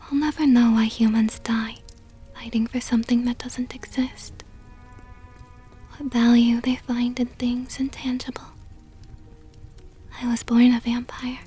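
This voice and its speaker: A woman plays a seductive vampire countess in an ASMR roleplay, very breathy and whispery. She speaks slowly and softly, with a dark, velvety tone and a possessive, teasing tenderness, close to the mic. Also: fairly high pitch, flat delivery, soft background music. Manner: slow, teasing, condescendingly tender. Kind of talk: ASMR roleplay, intimate monologue. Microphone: close microphone.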